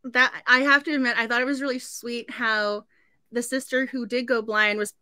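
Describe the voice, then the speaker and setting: A woman's voice: fairly high pitch, steady tone, moderate pace, sharp tone, clean tone, dry recording, clean studio sound. A woman in her thirties hosting a casual book club livestream in a gushing, giggly way. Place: indoor room at home.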